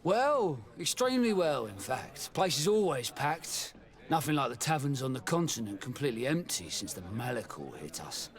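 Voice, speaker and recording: A man's voice: mid-range voice, very animated, moderate pace, natural voice. A man playing a chatty, sly ex-soldier tavern keeper in a video game, with a folksy manner. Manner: folksy, colloquial. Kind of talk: video game dialogue acting.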